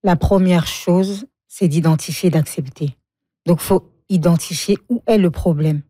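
Woman, low voice, warm tone, dry close sound, frank and reflective, laughs a lot. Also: a little slow, breathy edge, no echo. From Congo. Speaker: guest. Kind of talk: emotional personal interview.

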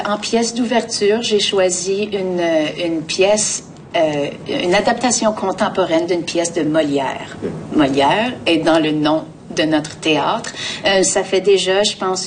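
A woman with a Canadian French accent gives conversational interview answers in a fairly low voice. She halts and repeats words as she searches. The mic is some way off and a faint rumble sits underneath. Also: recorded from a distance. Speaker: theatre artistic director, interview guest.

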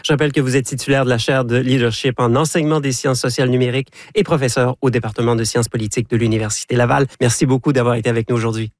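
A man's voice: mid-range voice, fairly even intonation, racing, hardly any pauses, no room sound, studio quality. A man hosting a radio interview, composed and articulate, speaking in full sentences. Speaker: radio show host.

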